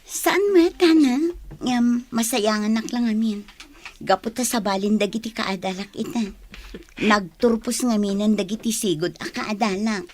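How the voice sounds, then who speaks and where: A modest young college student played by a woman in a radio drama. Her voice is on the high side, and she speaks as a grateful, respectful daughter. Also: a little slow, darkish tone, slight background noise, close to an everyday voice. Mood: earnest, modest. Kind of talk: Ilocano radio drama acting.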